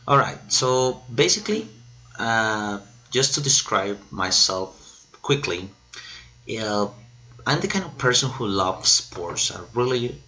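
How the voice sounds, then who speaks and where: A Colombian man in his thirties with a bright, forceful voice introduces himself as a teacher. He speaks slowly and explains things with some hesitation. His Spanish is his first language, and it shows in his accent. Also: on the low side. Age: 30s. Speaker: English teacher. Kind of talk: self-introduction presentation to camera.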